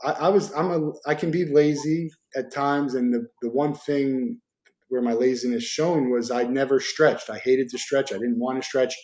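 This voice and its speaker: A man's voice: mid-range voice, fairly even intonation, moderate pace, a little mellow, reverberant. A man in his forties hosting a casual interview, asking rambling, easygoing questions with hesitations. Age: 40s. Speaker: host.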